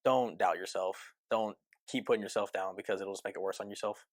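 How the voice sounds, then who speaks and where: Quick-talking guy who stutters, flat lowish voice, dry close mic. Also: no echo. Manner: stutters, talks openly and quickly. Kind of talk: documentary interview.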